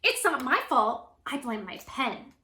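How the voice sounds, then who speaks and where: A defensive little schoolkid from a children's story, put on by a woman, in a high, bright voice. The excuses are whiny and dramatic, drawn out slowly. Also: big pitch swings, roomy sound, full character voice. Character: defensive young schoolkid blaming everything else.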